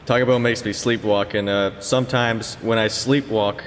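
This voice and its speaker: A man's voice: on the low side, steady tone, normal speed, natural voice. A man playing a nervous, awkward Yale physicist in an improvised comedy skit, with hesitant delivery and a voice that changes register. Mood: awkward, rambling. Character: Chad, nervous awkward male Yale physicist who sleepwalks. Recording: noisy, reverberant room recording.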